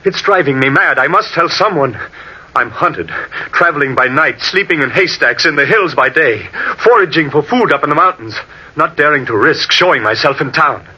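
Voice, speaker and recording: A man playing an intense, ambitious young Italian painter in an old-time radio drama, earnest and theatrical with a husky edge. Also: on the high side, expressive, moderate pace, bright, forceful tone, heavily acted. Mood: desperate, haunted. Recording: dull vintage AM radio recording.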